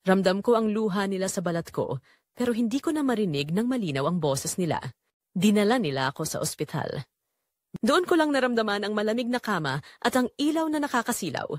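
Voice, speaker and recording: A woman performs a dying mother who has suffered quietly for years, narrating an audio drama. Her delivery is sorrowful and pleading, with lively rises and falls in pitch. The sound is dry and free of room echo. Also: fairly quick, natural voice. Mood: pained, somber. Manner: solemn, sorrowful, pleading storytelling.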